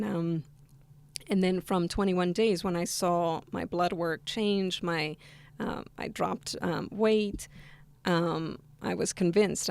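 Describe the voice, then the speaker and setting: A woman's voice: fairly low pitch, gravelly, a little mellow. A young woman from Argentina, a podcast guest, answering in a hesitant conversational way with many ums and restarts. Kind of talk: conversational interview. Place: studio table across from host.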